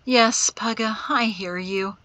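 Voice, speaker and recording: An embittered young male prisoner in an audiobook, acted out by a woman. The voice sits high and pushes forward with a sharp edge. He is agitated, quick to anger and given to sarcasm. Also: no room sound. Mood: urgent, sardonic. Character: bitter, hot-tempered young man held prisoner in chains. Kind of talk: audiobook character acting.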